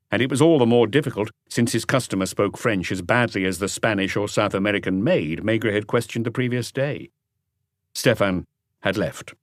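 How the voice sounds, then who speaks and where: A man reading a crime novel as an audiobook, in measured, articulate scripted narration. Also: on the low side, no room sound, studio quality.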